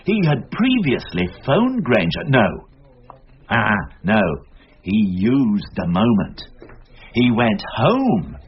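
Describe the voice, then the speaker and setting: Insistent British police inspector, very animated. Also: male voice, mid-range voice, takes it slowly. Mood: animated, certain. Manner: emphatic, argumentative, thinking aloud. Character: insistent, theorizing male senior police detective. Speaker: actor in detective radio drama. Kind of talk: radio drama acting. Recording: dull, hissy radio drama recording with clicks.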